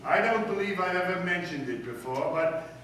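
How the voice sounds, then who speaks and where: A man plays a pompous school principal in a radio comedy, laying on florid mock humility in a slightly high voice. He is heard from well back in a very echoey room. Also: lively intonation, normal speed, a bit bright. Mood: ingratiating. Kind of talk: old-time radio comedy acting before an audience. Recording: echoey room, distant mic, faint noise.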